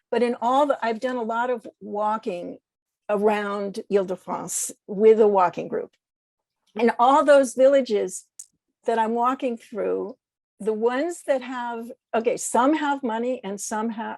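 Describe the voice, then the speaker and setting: An American woman in a conversational discussion, speaking haltingly as she searches for words and corrects herself. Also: on the high side, darkish tone, dry recording. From United States. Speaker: podcast guest.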